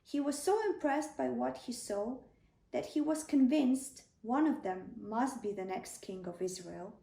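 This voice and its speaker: A woman narrating a children's bedtime story in a gentle, deliberate, clearly enunciated way. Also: a little high. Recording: roomy, distant microphone.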